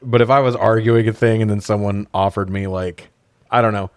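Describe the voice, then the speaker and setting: Guy with a lowish voice doing a sweary funny movie recap podcast, real dry close sound. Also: steady tone, a little fast, no echo. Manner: irreverent, sweary, slangy. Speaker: podcast co-host. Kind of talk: casual comedic movie recap commentary.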